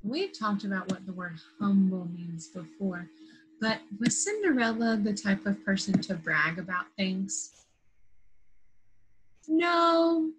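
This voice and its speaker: A woman teacher leading an interactive lesson for young children after a read-aloud, in simple, patient phrases with questions and drawn-out key words. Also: medium pitch, sing-song, poor audio. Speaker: primary school teacher.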